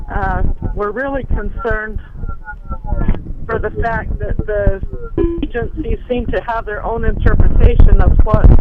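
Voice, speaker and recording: A woman calling in to ask a question, speaking hesitantly and repeating words. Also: medium pitch. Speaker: caller, county official. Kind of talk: asking a question by phone. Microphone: telephone. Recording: dull noisy phone line.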